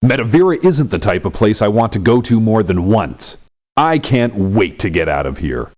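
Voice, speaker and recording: Sarcastic game mercenary, lively swings in pitch, lowish voice, brash, scornful. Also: male voice, moderate pace. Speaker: voice actor. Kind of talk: video game character voice lines.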